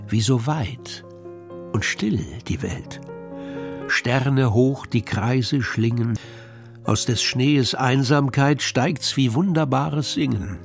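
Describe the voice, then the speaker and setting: A male reciter reads verse for children's radio. He takes his time, shaping lines deliberately at a middle pitch, and there is music in the recording. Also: vocal fry. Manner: measured, deliberate storytelling. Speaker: poetry reciter.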